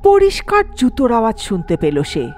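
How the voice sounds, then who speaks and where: A woman's voice: a little high, wildly swooping pitch, brisk, darkish tone, faint music behind. A woman narrating a scripted horror story in a dramatic storytelling manner.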